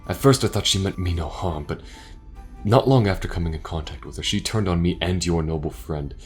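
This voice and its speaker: A man's voice: sits low, faint music behind, natural voice. A man playing a gallant, formal alien sailor warrior with courteous, archaic speech in an audio roleplay drama. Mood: grave, courteous. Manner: formal, archaic wording, measured.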